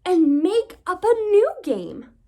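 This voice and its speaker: A very high, swooping little penguin boy, played by a woman for a children's storybook. He is the eager, clingy baby brother, exclaiming his words like a small child. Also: big pitch swings, slow, dark tone, exaggerated voice. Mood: excited. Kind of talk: children's storybook read-aloud.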